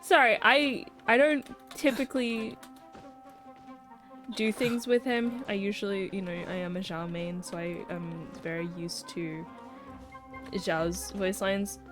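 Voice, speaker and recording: A woman's voice: medium pitch, steady tone, takes it slowly, fairly bright tone. A woman livestreaming a video game with casual, playful, reactive commentary, swearing freely and muttering asides. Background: faint game music.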